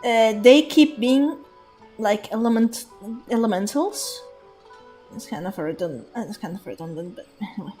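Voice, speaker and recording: A woman's voice: medium pitch, moderate pace, warm tone, soft background music. A woman streaming a game, musing aloud in a rambling, thoughtful way with many fillers and restarts. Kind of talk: casual livestream commentary.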